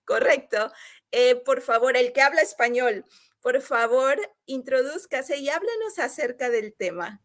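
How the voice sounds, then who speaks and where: A woman with a fairly high voice hosts an online video call. She talks in an upbeat, encouraging way, often restarting her phrases as she pitches a business opportunity. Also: expressive, measured pace. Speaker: host and business coach.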